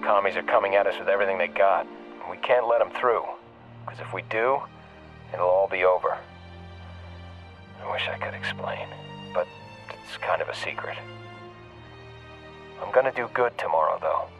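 A young man playing an earnest, anxious American soldier leaving a hesitant message for his mother through an answering machine filter. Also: low-pitched. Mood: regretful, resolved. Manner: hesitant, halting. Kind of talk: dramatic game voice acting, hesitant phone message. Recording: muffled answering-machine tape, faint music.

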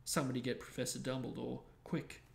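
A man's voice: mid-range voice, lively intonation, unhurried, warm tone, lightly acted. A man doing a pompous, officious teenage head boy. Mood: sharp, urgent. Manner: bustling, officious.

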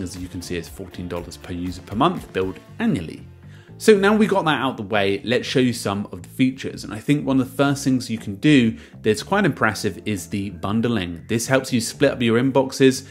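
A man narrates a software walkthrough in a casual, explanatory way, with a mid-range voice. He leans into the start of each sentence and lets the endings drop away, while music plays behind him. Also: mid-range voice. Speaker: software reviewer and presenter. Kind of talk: casual narrated screen-share software review. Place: voice-over to a screen recording.

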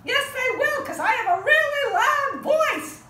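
A woman voicing a short, bossy, very loud princess puppet with a brash, insistent manner for a children's show. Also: very high-pitched, expressive, measured pace, pitched above the performer's own voice. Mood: indignant. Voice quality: loud, piercing. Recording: roomy, distant mic, faint noise.

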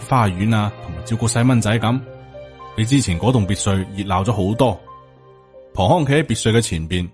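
A man's voice: on the low side, darkish tone. A man with a Hong Kong Cantonese accent reads a novel aloud as a scripted audiobook narrator.

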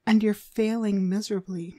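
A woman's voice: mid-range voice, steady tone, mellow, no echo, clean studio sound, natural voice. A woman playing a cruel, domineering demonic dog trainer in an ASMR roleplay, contemptuous and commanding in her delivery. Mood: scolding, commanding. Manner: contemptuous, commanding, slow and deliberate. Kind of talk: ASMR roleplay, frequent breathy whispering.

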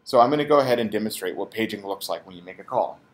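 Low-voiced man giving a tech tutorial. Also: expressive, very fast. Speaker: tech support technician, presenter. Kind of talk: product tutorial demonstration.

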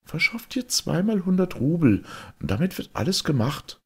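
Young magical wife, played by a man, lifted husky voice, earnest and instructing, for a fairy tale. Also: on the high side, sing-song, moderate pace, darkish tone, voice pushed up, exaggerated voice. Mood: caring. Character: beautiful young enchanted wife, caring and commanding.

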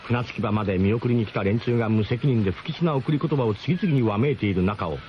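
A man's voice: mid-range voice, fast, lo-fi sound, slight background noise. A man narrating a radio drama in the first person, with a literary storytelling delivery. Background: music.

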